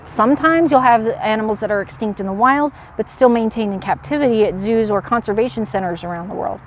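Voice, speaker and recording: A woman presenting as a park educator to children, with an animated manner, explaining and putting questions to young viewers. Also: on the high side, slight background noise. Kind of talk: animated educational read-aloud and animal demonstration.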